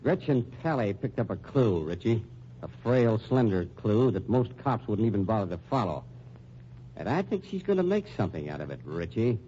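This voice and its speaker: Hard-boiled male lieutenant, folksy menace, American, mellow voice, 1940s radio drama, dull recording. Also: lowish voice, sing-song, normal speed. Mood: pressing. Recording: muffled old radio recording, faint noise.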